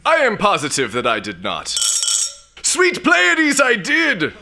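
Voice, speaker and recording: A man's voice: on the high side, big pitch swings, normal speed, background noise. A man playing a pompous, boozy, womanizing space lord in a comic sci-fi audio drama, with haughty, theatrical bluster and old-fashioned exclamations. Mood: bewildered bluster.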